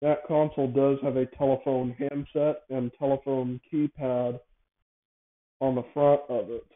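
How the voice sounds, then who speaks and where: A man speaks over narrow, phone-like audio in a casual, unscripted monologue. He hosts in a halting way, often doubling back to correct or repeat his phrases. His pitch sits in an ordinary middle range. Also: level tone. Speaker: show host. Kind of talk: casual improvised monologue, explaining a device idea.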